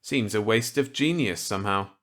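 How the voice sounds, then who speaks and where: A man's voice: fairly low pitch, normal speed, fairly bright tone, close to an everyday voice. A man voicing an earnest, resentful government scientist in a storybook reading, stiff and incredulous in delivery. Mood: stiff, guarded.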